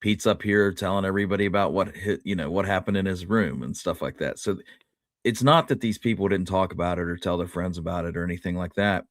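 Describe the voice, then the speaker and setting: A man's voice: sits low, fast, no echo, clean studio sound. A man co-hosting a history discussion in an easygoing, conversational way. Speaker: podcast co-host.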